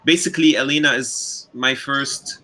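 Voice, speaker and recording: A man from Lebanon, a filmmaker, speaking slowly and hesitantly on a panel, often repeating words. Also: mid-range voice, fairly even intonation, sharp tone, poor audio. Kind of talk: panel discussion.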